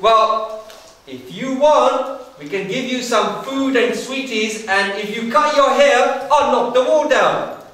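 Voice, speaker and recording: A man's voice: very high voice, slow pace, a bit bright, voice pushed up, heavily acted. A man voicing a stubborn, cruel king in a children's story, recorded far from the mic in an echoey room. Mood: commanding.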